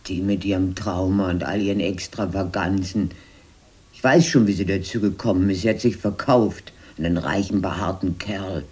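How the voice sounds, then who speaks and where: An actress playing an anxious, bitter, traumatised grown-up sister in a radio drama, in a husky, breathy, low voice with a fretful, accusing manner. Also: female voice, steady tone, moderate pace, warm tone, full character voice. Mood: bitter, scornful. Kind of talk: 1980s German radio drama acting.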